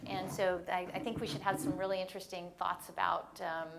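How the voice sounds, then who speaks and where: A woman moderates a conference panel with a lower voice. She sounds upbeat and encouraging to the crowd. A faint noise sits behind her. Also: a little fast, creaky. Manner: energetic, encouraging, many fillers. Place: conference stage before an audience.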